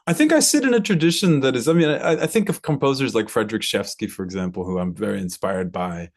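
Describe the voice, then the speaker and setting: A man's voice: fairly low pitch, expressive, fast talker. An American man in his thirties talking reflectively in an interview, searching for his words and repeating some for emphasis. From Brooklyn, United States. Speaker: composer and violinist interviewee.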